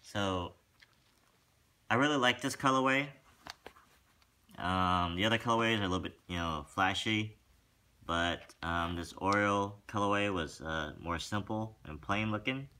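A man's voice: fairly low pitch, a little slow, pauses often, slight background noise. A man talking casually through a sneaker review in a room at home, rambling a little and filling gaps with "um". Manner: relaxed and rambling, with many fillers. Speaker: sneaker vlogger. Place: room with a wooden floor, indoors.

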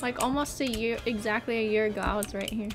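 A young woman chatting excitedly with friends while playing a game, often gasping and laughing. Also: on the high side, fast. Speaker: player. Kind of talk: casual gaming chat. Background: game music.

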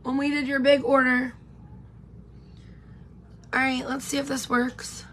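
High-pitched woman doing her makeup at home, voice kinda worn out, chatty, noisy background. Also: little pitch movement, unhurried, a bit bright. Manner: chatty, rambling, greets viewers by name. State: losing her voice. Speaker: beauty vlogger. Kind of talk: casual get-ready-with-me live chat. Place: home room with pink neon lights, indoors. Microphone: camera in front at close range.

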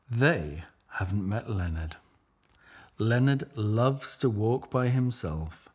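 A middle-aged man reading a picture book aloud with measured, expressive storytelling and a husky voice. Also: fairly low pitch. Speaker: storybook reader.

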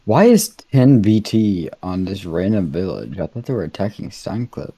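A man's voice: low-pitched, big pitch swings, moderate pace, mellow, husky. A man joking and teasing friends over casual gaming voice chat.

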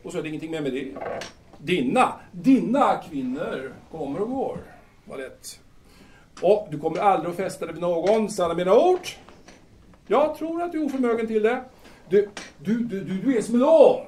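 A cantankerous, drunken bohemian acted by a man blusters and repeats himself in an audio drama. His voice is high and very animated. It is picked up from some way off the microphone. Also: a little hiss or hum. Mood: scornful. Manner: blustering, repetitive, stammering on words. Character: Alfred, cantankerous drunken bohemian who scorns women. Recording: distant microphone.

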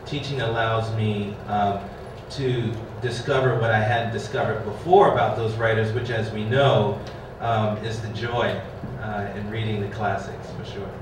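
Low-voiced man, wry teacher on a panel. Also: steady tone, a little slow, hardly any pauses, background noise. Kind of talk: panel discussion answer. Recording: echoey hall from far off.

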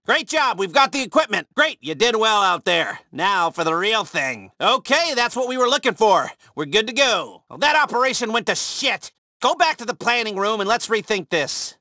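An actor playing an upbeat, wry heist handler in a video game, guiding missions in an informal, chatty way with hesitant asides. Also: male voice, high voice, sharp tone, dry recording, studio quality. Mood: pleased, then wry, exasperated.

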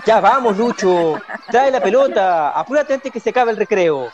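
A man's voice: high-pitched, expressive, moderate pace, lo-fi sound, heavy background noise. A man playing a mocking little Chilean schoolboy bully in a radio drama. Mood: scornful.

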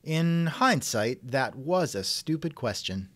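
A man's voice: mid-range voice, big pitch swings, takes it slowly, heavy background noise. A man hosting a scripted comedy interview show in a theatrical, wisecracking manner, close to the mic. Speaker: podcast host and interviewer.

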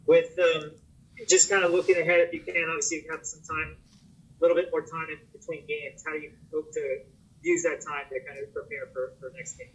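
A man moderating a press conference and calling on reporters, in a brisk, businesslike way. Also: fairly high pitch, level tone. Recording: dull lo-fi audio from a distance.

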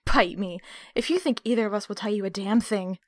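A woman playing a betrayed, defiant captive in an audio drama, speaking with hurt, accusing, sharp-tongued emotion. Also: mid-range voice, fairly quick, no room sound, close to an everyday voice. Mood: defiant contempt. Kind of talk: audio roleplay acting.

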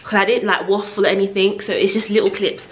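A woman's voice: mid-range voice, fairly even intonation, quick pace, slight background noise. A woman in her twenties with a London accent, chatting casually and slangily to her vlog camera. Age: 20s. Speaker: vlogger.

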